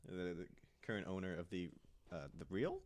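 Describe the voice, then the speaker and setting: A man with a fairly low voice tells a story in a casual, chatty way among friends. He speaks conversationally, often checking that the others are following along, like a panelist on a relaxed podcast. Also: normal speed.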